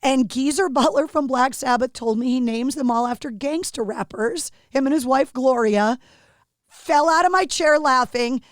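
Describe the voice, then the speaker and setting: A woman hosts a podcast interview with a raspy, fairly high voice. She is chatty and self-deprecating, and she is recorded close and dry, with no room sound. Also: moderate pace, no room sound. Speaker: podcast host. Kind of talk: casual interview chat.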